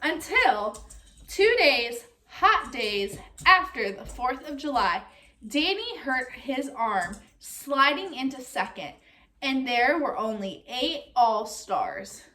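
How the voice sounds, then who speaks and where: A woman reading a picture book aloud like a teacher, expressive and speaking directly to young listeners. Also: high-pitched, slow, a little hiss or hum, low-quality recording. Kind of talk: read-aloud storytelling with comprehension questions.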